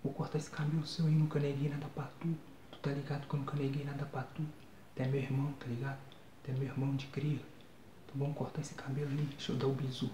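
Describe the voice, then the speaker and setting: A man's voice: mid-range voice, little pitch movement, fast talker, warm tone. A young Brazilian man doing an ASMR roleplay in agitated, slangy street talk. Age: young adult. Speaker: ASMR creator. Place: small bare bedroom, indoors. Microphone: phone used as microphone, close in front. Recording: roomy, noisy phone recording with clicks.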